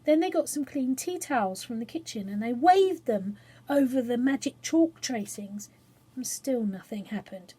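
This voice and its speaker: A woman reads a children's story in a fairly high, slightly husky voice. She is expressive, leaning on key words and pausing for drama. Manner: expressive, dramatic pauses and emphasis. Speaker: storyteller reading a children's book. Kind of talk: animated children's story narration.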